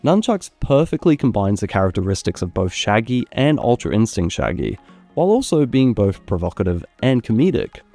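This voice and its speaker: A man narrating scripted gaming ranking commentary in a measured, opinionated, critical way. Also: fairly low pitch, very animated, warm tone. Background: background music.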